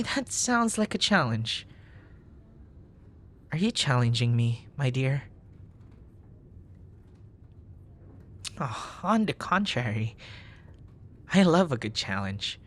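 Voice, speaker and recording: A man playing a charming, smitten young nerd in an ASMR boyfriend roleplay, murmuring softly in courtly, poetic phrases. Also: medium pitch, gravelly, breathy edge, full character voice. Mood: playful, adoring.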